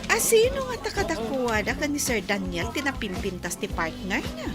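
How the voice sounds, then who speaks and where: A woman's voice: fairly high pitch, sing-song, moderate pace, over music. A woman with an Ilocano accent playing a talkative, curious village woman in a radio drama. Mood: eager, nosy.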